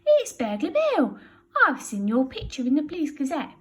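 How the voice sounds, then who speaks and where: A woman doing Burglar Betty, a high, chatty burglar. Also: huge pitch swings, moderate pace. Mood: storybook. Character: chatty, warm-hearted female burglar, grown woman.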